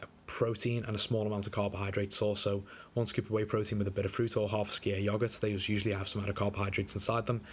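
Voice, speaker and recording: Fast-talking, slightly wheezy man. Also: on the low side. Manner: laid-back, chatty, lots of fillers. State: a bit wheezy, coming down with flu. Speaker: diet coach. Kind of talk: casual screen-recorded walkthrough. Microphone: screen recording.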